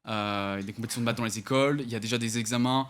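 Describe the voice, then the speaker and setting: Young guy in his 20s talking fast about himself, lots of uh and restarts. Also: medium pitch, steady tone, fairly bright tone. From United States, then France. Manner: hesitant, many fillers and restarts, self-corrects. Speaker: entrepreneur guest. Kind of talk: casual interview answers.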